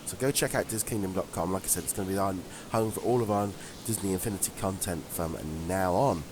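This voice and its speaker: A man co-hosting a casual webcast, congested from a head cold, chatty and quick to laugh. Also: sits low, background noise. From England. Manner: chatty, laughs often, frequent ums. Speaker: podcast co-host. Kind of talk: casual chat.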